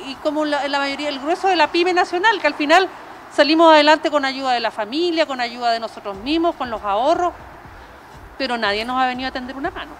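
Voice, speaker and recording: A middle-aged woman with a Chilean accent, earnest and emphatic, answering a news interview as a small business owner through a face mask. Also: high voice. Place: open-sided restaurant terrace by a street. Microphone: handheld reporter microphone. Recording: outdoor street noise with traffic.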